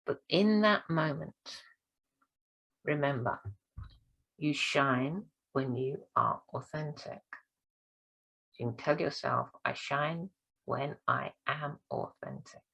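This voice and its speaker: A woman speaking coach giving an encouraging training talk, her voice croaky and hoarse this week. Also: low voice, slow, long pauses, fairly bright tone, rough recording. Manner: encouraging, earnest. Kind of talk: direct-to-camera training talk.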